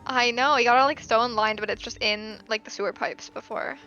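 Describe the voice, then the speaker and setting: A young woman in casual, halting chat while watching a friend's game. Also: fairly high pitch, fairly even intonation, highs cut off. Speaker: friend joining gameplay commentary. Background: game music.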